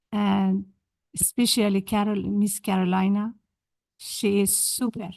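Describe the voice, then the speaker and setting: A woman from Denmark giving an emotional, halting public comment at a city council meeting, in non-native phrasing. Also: medium pitch, a little mellow, no echo. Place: council chamber.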